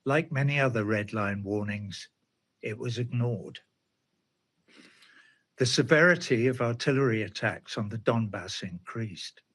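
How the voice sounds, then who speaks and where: A male commentator talks through a spoken essay, unhurried and deliberate. His voice is husky and mid-pitched. He is sardonic and keeps posing rhetorical questions. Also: fairly bright tone.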